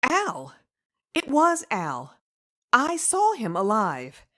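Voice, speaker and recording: A woman playing a distraught young wife shaken by a dream, halting and emotional, in a dramatic comic dub. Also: fairly high pitch, huge pitch swings, fairly bright tone, heavily acted. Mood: shaken, bewildered.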